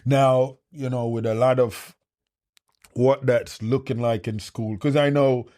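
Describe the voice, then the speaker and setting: A man's voice: medium pitch, fairly even intonation, measured pace, warm tone. A man hosting a podcast in a casual interview style, affirming his guest with constant encouraging responses. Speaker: podcast host.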